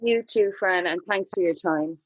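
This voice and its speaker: A woman's voice: on the low side, moderate pace, heavy background noise. A woman with an Irish accent, talking casually as a podcast host. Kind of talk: casual interview hosting.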